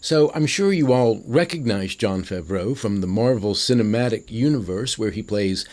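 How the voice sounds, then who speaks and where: A man's voice: fairly low pitch, animated, normal speed, a little mellow. A man giving analytical commentary on film acting in a conversational, explanatory way.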